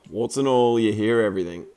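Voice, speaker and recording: A man just over the flu, his voice croaky, giving chatty, rambling commentary over footage of a hockey game he filmed, with plenty of fillers and restarts. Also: fairly low pitch, animated, measured pace, dark tone. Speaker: amateur hockey commentator and video maker.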